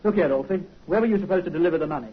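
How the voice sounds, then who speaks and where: An actor playing a brisk, alert male police sergeant in an old radio drama, firm in manner. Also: a little high, slightly rough. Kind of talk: 1950s radio acting. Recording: muffled vintage radio recording.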